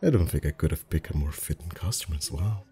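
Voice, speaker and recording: Guy playing a chatty male face painter for asmr, super low relaxed voice, soft spoken, kinda flirty, reassuring. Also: brisk. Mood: friendly, chatty. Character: friendly, chatty festival face painter, gently flirtatious man. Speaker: voice actor. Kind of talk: ASMR roleplay.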